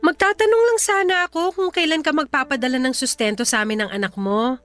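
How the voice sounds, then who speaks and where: High-voiced, clingy young mother. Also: fast talker, fairly bright tone, faint music behind. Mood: tentative, coaxing. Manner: insistent, pleading. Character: clingy, pleading young mother longing for her ex. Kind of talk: radio drama acting.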